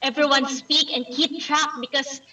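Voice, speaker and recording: Playful Filipino woman, high and bright. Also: fairly even intonation, normal speed. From Philippines. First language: Tagalog. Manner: playful, theatrical asides. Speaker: meeting timer. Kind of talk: online club meeting report. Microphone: video call. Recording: echoey room, distant mic.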